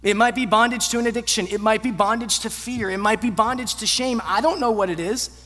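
A man in his thirties delivers a sermon with a fairly high voice. He speaks earnestly, pushing up to emphatic peaks and then falling away to quiet, low endings. His voice carries a long reverb from a large church auditorium. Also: brisk. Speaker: pastor.

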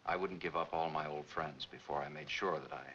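A sly, cynical man in his thirties from an old noir film. His voice is very deep yet bright and edged, full of insinuation. It comes through a dull old soundtrack. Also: brisk, slight background noise. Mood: sardonic, warning. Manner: sardonic, insinuating. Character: cynical, sly young man-about-town, old boyfriend. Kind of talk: 1940s film noir acting.

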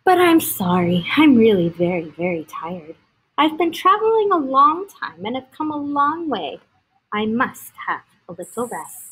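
A woman's voice: on the high side, sing-song, a little slow, fairly bright tone, husky, heavily acted, poor audio. A woman voicing a weary, polite traveling animal in a storybook, hesitant and earnest. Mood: tired.

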